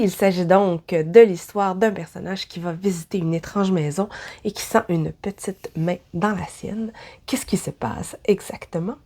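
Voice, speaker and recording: A woman's voice: fairly low pitch, a little mellow. A woman with a Québécois French accent, talking with a head cold, chatting through a casual book review vlog with self-deprecating asides. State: has a head cold, stuffy voice. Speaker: book vlogger.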